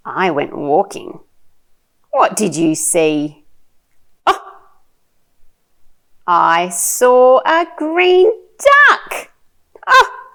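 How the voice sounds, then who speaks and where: A woman playing a delighted, playful picture-book reader for a baby, with sing-song, exaggerated, drawn-out words. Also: deep voice, vocal fry, exaggerated voice. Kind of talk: picture-book read-aloud for babies.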